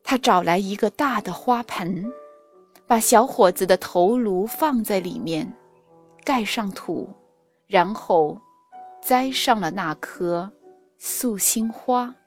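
A woman with a standard Mandarin accent narrating a fairy tale for children in an expressive, carefully enunciated manner, as a storyteller and teacher would. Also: mid-range voice, slow pace. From China. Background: music under the narration.

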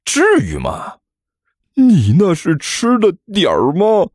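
A man voicing an exasperated, hot-tempered young male student in an audiobook, stammering and whining in shrill outbursts. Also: huge pitch swings, slow, darkish tone, slightly rough, no room sound, studio quality, exaggerated voice. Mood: panicked, indignant. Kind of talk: audiobook character acting.

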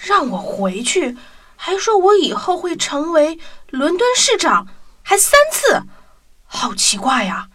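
Woman acting as earnest poor boy, high voice, swooping exclamations, children's radio drama, hopeful. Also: big pitch swings, slow pace, fairly bright tone, heavily acted. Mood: puzzled. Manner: exclamatory, wide-eyed.

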